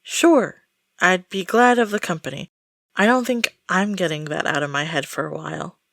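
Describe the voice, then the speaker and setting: A woman playing an exasperated, jumpy friend caught up in a haunted-house outing, sarcastic and expressive, in an audio roleplay. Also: lowish voice, moderate pace, gravelly, no room sound, clean studio sound. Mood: weary, resigned.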